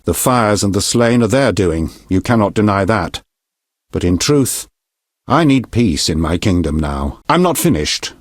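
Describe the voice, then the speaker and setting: An actor playing a stern, shrewd middle-aged king in a video game, speaking formally and deliberately with a husky, commanding voice. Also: on the low side, no echo, clean studio sound. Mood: stern, grave. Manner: formal, measured, imperious, deliberate diction. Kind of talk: scripted video game dialogue.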